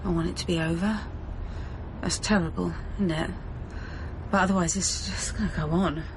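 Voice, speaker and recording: A woman's voice: on the low side, steady tone, normal speed, background noise, lightly acted. A woman playing an anxious, vulnerable pregnant mother in naturalistic radio drama, plain-spoken and hesitant. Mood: numb, anxious.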